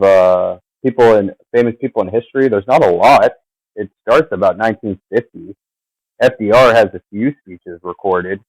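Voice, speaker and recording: Rambling low-voiced man at his computer. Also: a little mellow, dry recording, low-quality recording. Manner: meandering, trails off, many fillers. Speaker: vlogger. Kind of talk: rambling casual chat.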